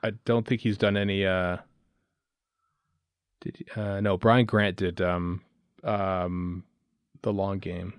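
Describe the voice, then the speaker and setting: A man with a lowish voice talks casually as a podcast co-host. He rambles and thinks aloud through his commentary, recorded close and dry without room sound. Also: little pitch movement, slow pace, pauses often, clear, resonant tone, dry recording. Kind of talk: casual film commentary chat.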